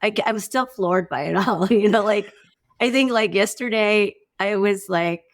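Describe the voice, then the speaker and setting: A middle-aged woman chatting casually as a podcast guest, expressive and informal in the way she talks. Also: mid-range voice, unhurried. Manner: expressive, informal, peppers talk with "like" and "you know". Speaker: guest, farmer and former academic. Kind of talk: casual, conversational podcast chat.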